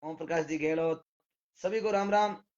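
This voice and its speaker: Hoarse Rajasthani man, fairly high voice, live-streaming on a muffled phone mic, reeling off viewers' names with thanks. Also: normal speed, darkish tone. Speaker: devotional singer hosting a live stream. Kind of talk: casual live-stream greetings.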